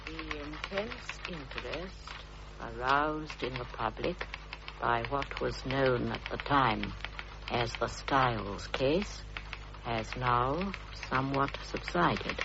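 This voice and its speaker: An actor playing a sober narrator recounting a case, in a measured, formal book-reading style. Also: male voice, mid-range voice, gravelly. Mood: solemn. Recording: noisy, dull old radio recording.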